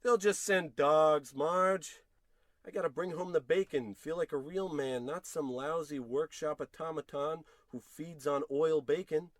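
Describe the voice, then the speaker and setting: A man's voice: a little high, unhurried, no echo, natural voice. A man playing a frustrated, self-pitying unemployed husband in an old-time radio comedy, wordy, sarcastic and theatrical. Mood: indignant. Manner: wordy, indignant, sarcastic rambling.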